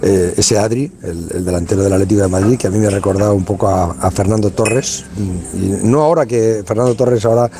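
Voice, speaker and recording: A man's voice: fairly low pitch, a little fast, few pauses, dark tone. A man from Spain, organizer of a youth football tournament, talking in a casual radio interview, colloquial and full of restarts and tag questions.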